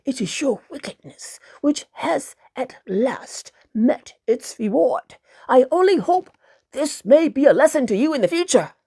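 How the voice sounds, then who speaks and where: Woman reading a firm old husband, slow and measured. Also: high voice, lively intonation, mellow, husky, full character voice. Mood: reproving. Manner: admonishing. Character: old man, kindly husband, firm and reproving. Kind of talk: storybook character reading.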